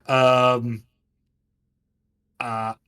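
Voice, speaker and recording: Male game master, average pitch, narrating fantasy scenes to players at a tabletop game. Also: level tone, drawn-out words, a bit bright, clean tone.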